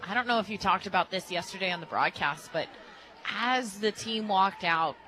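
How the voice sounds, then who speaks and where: A woman's voice: medium pitch, normal speed, rough recording. An American woman giving conversational color commentary on a live sports radio broadcast. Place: stadium radio booth.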